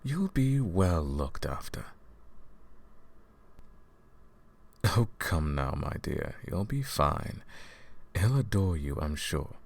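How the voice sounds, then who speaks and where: A man's voice: deep voice, sing-song, takes it slowly, heavily acted. A man playing a falsely sympathetic, condescending royal advisor in a fantasy audio roleplay, speaking to the listener in a courtly, patronizing, theatrical way. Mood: teasing, persuasive.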